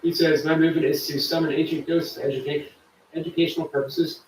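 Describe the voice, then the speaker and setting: A man's voice: a little high, level tone, quick pace, echoey room, far from the mic, slight background noise, poor audio. A man hosting a live stream, chatting casually and reading viewer comments aloud. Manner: chatty, warm to viewers, reads chat aloud. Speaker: livestream host.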